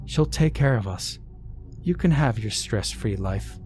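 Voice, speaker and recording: A man's voice: mid-range voice, animated, measured pace, mellow, soft background music, lightly acted. A man voicing a possessed daughter who mutters cold accusations in a near whisper. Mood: cold accusing.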